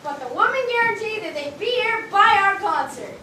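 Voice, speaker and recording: A man's voice: very high-pitched, animated, a little fast, sharp tone, heavily acted. A boy playing a dreamy camp band teacher. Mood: explaining. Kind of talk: camp stage play. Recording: echoey hall, far mic, audience noise.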